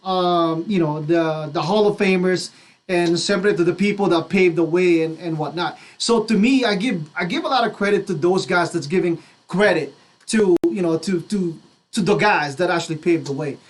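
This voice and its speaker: A Filipino-accented man co-hosts a radio interview in a high-pitched voice. He talks casually, stammering over repeated phrases as he builds toward his point. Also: moderate pace. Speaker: radio co-host. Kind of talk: casual chat, mixing Tagalog and English.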